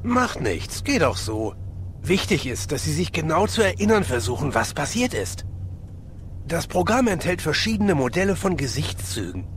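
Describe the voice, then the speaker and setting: A man playing a courteous, confident police inspector in a German audio drama, explaining things politely and briskly. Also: a little high, very animated, a little hiss or hum. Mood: patient, instructive.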